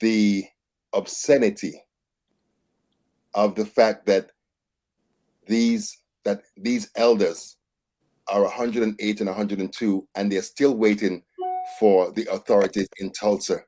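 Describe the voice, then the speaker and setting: A man's voice: lowish voice, steady tone, slow, long pauses, warm tone. A middle-aged man co-hosting a casual morning talk show, making earnest, emphatic points. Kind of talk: casual panel discussion.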